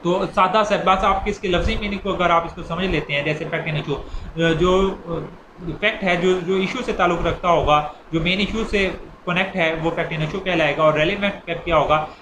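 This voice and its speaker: A man's voice: high-pitched, fairly even intonation, normal speed. A man with a Pakistani accent giving an informal law lecture, explaining step by step with repetition and examples. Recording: echoey room with clear background noise.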